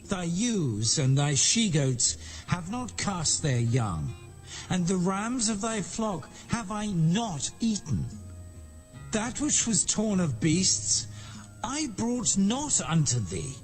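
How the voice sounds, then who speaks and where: A man playing aggrieved biblical shepherd, higher male voice, unhurried declamation, audio drama, music underneath. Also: sing-song, full character voice. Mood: indignant, aggrieved. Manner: declamatory, archaic diction. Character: aggrieved, indignant male shepherd pleading his case. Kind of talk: dramatized scripture acting.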